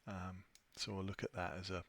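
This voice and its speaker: A man presenting an unscripted software tutorial, thinking aloud with a hesitant delivery as he walks through his screen. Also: low voice, level tone, normal speed, no room sound. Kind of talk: unscripted screen-share walkthrough.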